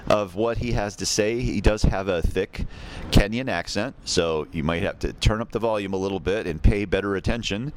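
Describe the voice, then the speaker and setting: A man hosting a podcast and interviewing guests, speaking conversationally in a measured, halting way with pauses. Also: lowish voice, slight background noise. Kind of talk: conversational spoken introduction and interview.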